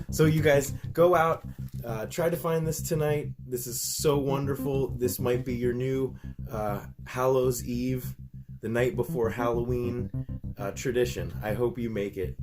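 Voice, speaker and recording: A man hosting a record channel, chatting casually and enthusiastically in a conversational way. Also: medium pitch. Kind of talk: casual chat, recommending and reacting.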